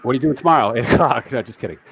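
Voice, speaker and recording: A man's voice: medium pitch, racing, a bit bright, slightly rough, no room sound. A man from the New York area hosting a casual livestream over a video call, chatty and self-deprecating as he reads viewer comments aloud. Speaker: livestream host. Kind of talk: casual live-stream chat.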